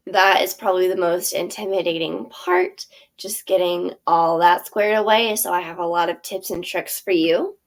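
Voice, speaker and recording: A woman's voice: fairly low pitch, fairly even intonation, moderate pace, hardly any pauses. A woman theater teacher giving a friendly instructional walkthrough of her curriculum over a screen share, with frequent ums and small self-corrections.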